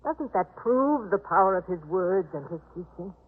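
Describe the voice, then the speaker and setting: An actress playing a gentle, principled mistress of a biblical household in a 1950s radio drama, speaking in an earnest, imploring way. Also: female voice, fairly low pitch, animated, moderate pace, husky. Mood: pleading. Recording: muffled narrowband 1950s radio recording.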